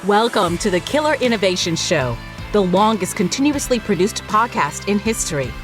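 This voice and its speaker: A woman's voice: mid-range voice, animated, normal speed. Polished woman announcer over music. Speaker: show announcer. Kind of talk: scripted announcer intro.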